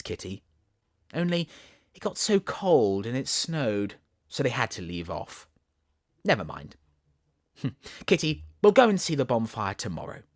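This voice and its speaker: A man voicing a playful young storybook girl, chattering and mock-scolding her kitten with affection. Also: medium pitch. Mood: excited chatter.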